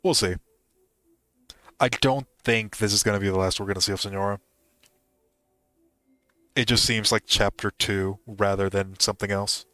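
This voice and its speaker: A man's voice: lowish voice, steady tone, moderate pace, pauses often, sharp tone, no room sound. A man giving casual, musing commentary as he streams a game. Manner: musing, speculative.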